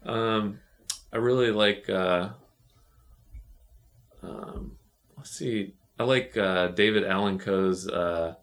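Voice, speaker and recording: Easygoing lower-voiced man, rambling chat. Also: slow, pauses often. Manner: relaxed and rambling, with many fillers and restarts. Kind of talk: casual chat.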